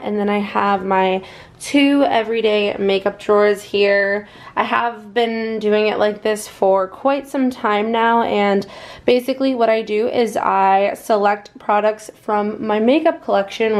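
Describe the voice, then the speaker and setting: A young American woman with a slightly hoarse voice, chatting casually through a makeup vlog. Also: mid-range voice. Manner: chatty, upbeat, fast-talking. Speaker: beauty vlogger.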